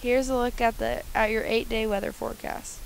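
A woman's voice: a little high, flat delivery, a little fast, vocal fry, over loud music, low-quality recording. Teen girl reading school announcements. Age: teens. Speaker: student news anchor. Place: outdoors on a football field. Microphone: handheld mic.

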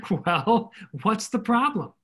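A man's voice: high-pitched, very animated, slow pace, fairly bright tone, husky, full character voice. Man playing an earnest, wide-swinging army captain. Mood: puzzled, eager. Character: eager modest army captain, home from war. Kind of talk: stage comedy acting. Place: indoors at home, video call. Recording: noisy home video-call audio.

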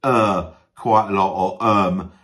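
Man, lowish voice, casual video creator chat, hesitant, many ums and uhs, self-deprecating, joking. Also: steady tone, slow, fairly bright tone. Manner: hesitant, frequent ums and uhs as thinking time. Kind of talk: casual talk to camera, answering a list of questions.

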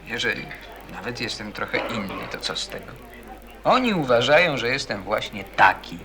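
A man's voice: mid-range voice, sing-song, moderate pace. A man playing a bitter, defensive teenage schoolboy in a radio play, defiant, sarcastic and colloquial. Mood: guarded. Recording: busy chatter of people behind. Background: crowd chatter, as in a café.

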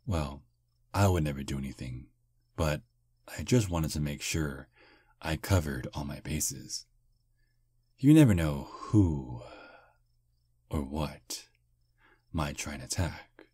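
A man voicing a charming, polite male vampire on a first date, speaking softly and flatteringly in an ASMR roleplay. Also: rumbling low, slow pace, pauses often, breathy edge, dry recording, exaggerated voice. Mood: smooth flirting. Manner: soft, flattering, gentlemanly. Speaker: voice actor.

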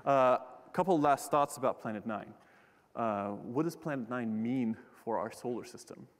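A man's voice: medium pitch, moderate pace. Young man giving a casual lecture in a hall. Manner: casual and joking, with frequent fillers and restarts. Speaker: keynote speaker, astronomer. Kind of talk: public science talk. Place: large lecture hall stage. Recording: long hall reverb.

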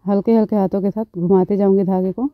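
Woman beautician, calm step-by-step tutorial voice, dry dull phone-recorded audio. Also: mid-range voice, fast, dry recording. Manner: calm, instructive, repeats phrases. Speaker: beautician, channel host.

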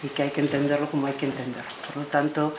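A woman's voice: sits low, little pitch movement, very fast. A woman with a Spanish accent, a teacher giving an emphatic informal thank-you speech. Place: indoor room with a group. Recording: echoey, noisy room.

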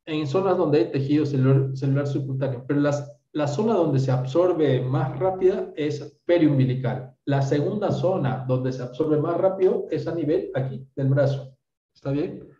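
Peruvian guy in his 30s teaching doctors online, mellow voice, sounds far off from the mic. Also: fairly high pitch, normal speed. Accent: Peruvian Spanish. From Peru. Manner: explanatory, often adds "¿está bien?". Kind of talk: online medical lecture answering questions. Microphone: video call. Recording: roomy, distant, dull video call audio.

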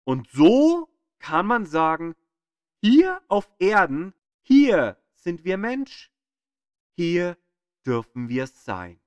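Man, scripted educational narration, slow pace, dry close sound, dramatic pauses. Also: high voice, huge pitch swings, clean tone, dry recording. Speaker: narrator of an astronomy audio guide.

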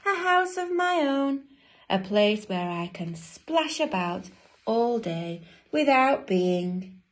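A woman's voice: mid-range voice, lively intonation, takes it slowly. A woman voicing a grumpy young male storybook penguin, indignant and emphatic. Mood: relieved.

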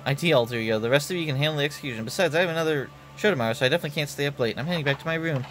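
A man's voice: on the high side, racing, a bit bright, faint music behind, natural voice. A man voicing a haughty, cool young woman idol in a game, aloof and self-assured.

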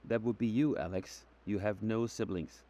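An actor playing a calm, matter-of-fact male police officer asking probing questions in a video game. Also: on the low side, normal speed, natural voice.

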